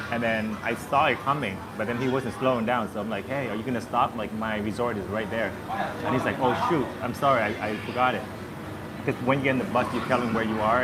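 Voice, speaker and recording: A man from Southern Vietnam chatting casually with his viewers as a vlogger, rambling and easygoing with plenty of fillers. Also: medium pitch. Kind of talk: casual chat with live viewers. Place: busy street outdoors. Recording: loud street traffic behind. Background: loud traffic and street noise.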